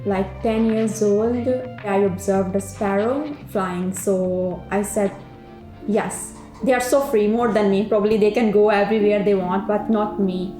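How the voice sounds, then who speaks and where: A young woman from Pakistan whose first language is Urdu, a doctoral researcher speaking reflectively about her life, with pauses and fillers. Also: medium pitch, fairly even intonation, mellow. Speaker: PhD researcher. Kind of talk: personal documentary narration. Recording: roomy with music underneath.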